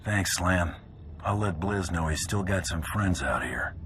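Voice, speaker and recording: Deep, laconic bounty hunter. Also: male voice, measured pace. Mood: calm. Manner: laconic, calm, hard-boiled. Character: cool, tough male bounty hunter, quietly decent. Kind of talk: video game character acting. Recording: music behind the voice.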